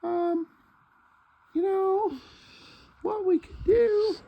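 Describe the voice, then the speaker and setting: A woman's voice: very high-pitched, fairly even intonation, drawn-out words, creaky, highs cut off. A woman puppeteer playfully improvising a puppet show in casual talk.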